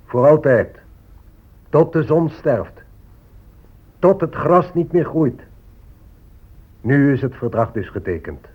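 An actor playing a greedy, impatient settler negotiator with a husky voice and an insistent manner in a radio drama. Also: male voice, a little high, animated, slow, pauses often, heavily acted. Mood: solemn, official. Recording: muffled, narrow-band old radio recording.

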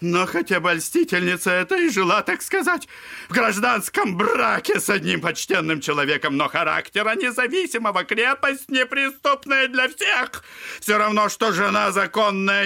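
A man's voice: high-pitched, big pitch swings, moderate pace, gravelly, exaggerated voice. An actor playing a ranting, clownish, spiteful old father in an audio drama, theatrical and whining with mock piety. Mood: wailing outrage. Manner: theatrical, whining, mock-pious wailing and shouting. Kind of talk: Russian classic audio drama acting.

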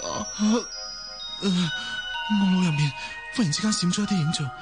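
A man's voice: high-pitched, normal speed, natural voice. Man acting a frantic, lovestruck young man. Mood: pained, dazed. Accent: Hong Kong Cantonese. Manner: melodramatic, urgent. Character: ageless young man regaining past life, lovestruck, frantic. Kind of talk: Cantonese radio drama acting. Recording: music under the voice.

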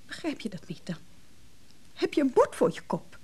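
A woman's voice: high-pitched, very animated, normal speed, darkish tone, slightly rough, exaggerated voice. An actress playing an angry, fed-up woman, cutting and reproachful, in a radio drama. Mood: indignant. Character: angry, fed-up woman quarrelling with her man.

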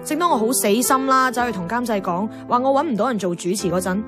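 Casting: actress as young Hong Kong Cantonese career woman, fairly high voice, self-deprecating, expressive, radio drama with music. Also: fast talker, natural voice. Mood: exasperated. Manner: expressive, colloquial, English words mixed in. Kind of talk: radio drama dialogue and monologue.

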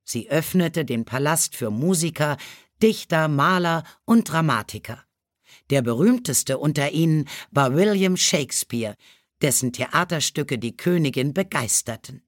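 A woman's voice: sits low, animated, a little slow, no room sound. Dry, close-sounding woman storybook narrator. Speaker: audiobook narrator.

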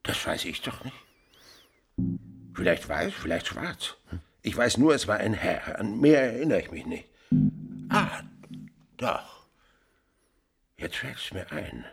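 An actor playing a frightened, muddled peasant suspect in a period radio play, halting, repetitive and servile. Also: male voice, fairly low pitch, big pitch swings, creaky, slightly rough, soft background music, exaggerated voice. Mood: dazed, halting.

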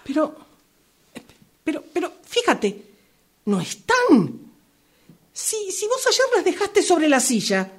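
A woman in an amateur radio drama playing a grumpy, pious retired old woman with a husky Rioplatense Argentine accent, complaining and exclaiming melodramatically. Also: high-pitched, huge pitch swings, slow pace, pauses often, heavily acted. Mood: urgent.

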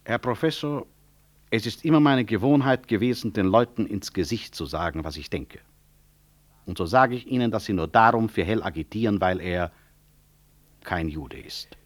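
An actor playing a proud, stubborn physician who runs a hospital, speaking with dry irony and blunt courtesy in a 1960s German radio drama. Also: male voice, fairly low pitch, moderate pace, close to an everyday voice. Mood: blunt, firm.

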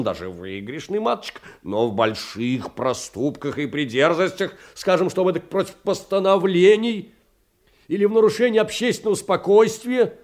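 An actor in an audio drama playing a meek, aging petty clerk, pleading in a fussy, old-fashioned, emotional way. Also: male voice, sits high. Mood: defensive. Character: meek, wounded, aging petty government clerk, grey-haired. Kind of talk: theatrical audio drama, letter monologue.

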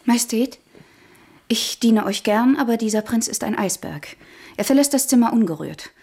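An actress playing a coquettish, intriguing court lady in a German radio play, with a hushed, courtly, conspiratorial manner. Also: a little high, lively intonation, moderate pace, slightly rough. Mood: pleading.

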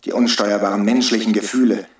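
An actor playing a bitter, taunting rebel hostage-taker who preaches and needles in a radio drama. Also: male voice, fairly low pitch, steady tone, fairly quick, close to an everyday voice. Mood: taunting, commanding. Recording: roomy, distant from the mic.

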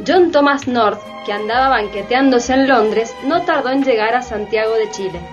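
A woman's voice: fairly high pitch, normal speed, highs cut off, soft background music. A woman reading scripted narration in a radio drama.

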